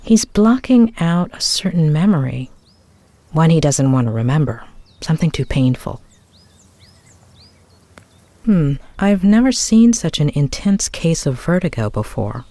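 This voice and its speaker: An actress playing a composed, analytical female psychotherapist in a video game drama, speaking in a measured, reflective way. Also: low-pitched, big pitch swings, dark tone, dry recording, exaggerated voice. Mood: pensive. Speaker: therapist, doctor. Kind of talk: video game drama acting, inner monologue and dialogue.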